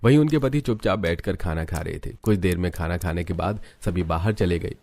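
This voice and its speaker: Male narrator, low register, scripted storytelling for a family cartoon. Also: brisk, creaky, a little mellow, no room sound.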